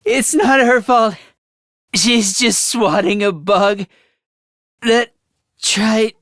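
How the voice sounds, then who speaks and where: A man's voice: very high-pitched, pushed and bright, dry recording, studio quality, exaggerated voice. A male actor voicing a meek, anxious, self-loathing young man fighter in an anime-style video game, stammering and apologetic.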